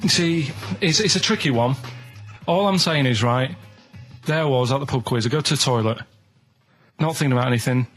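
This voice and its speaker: A man's voice: mid-range voice, brisk, fairly bright tone, faint music behind. A man on a radio show, rambling casually in an earnest, matter-of-fact way.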